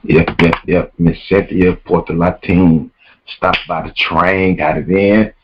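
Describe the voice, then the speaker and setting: A man hosting his podcast in a very deep, smooth voice. He talks in a laid-back way, repeating himself and using casual slang. The recording sounds dull and lo-fi. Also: little pitch movement, unhurried, a little hiss or hum. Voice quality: smooth "quiet storm" voice. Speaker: podcast host. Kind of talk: casual show wrap-up.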